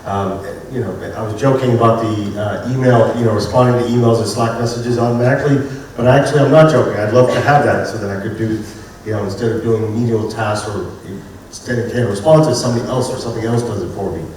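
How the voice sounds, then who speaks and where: A man on a conference panel, speaking in a relaxed, conversational way. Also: on the low side, quick pace, a little hiss or hum. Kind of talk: panel discussion. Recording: very echoey hall, distant mic, faint ambience.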